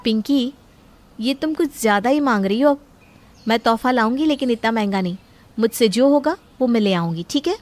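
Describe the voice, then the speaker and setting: Woman acting an earnest, high-voiced young bride-to-be. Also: lively intonation, normal speed, darkish tone, natural voice. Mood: gently firm. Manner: earnest, protesting. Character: worried young bride-to-be, a principled dutiful daughter. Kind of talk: dramatised Hindi story acting.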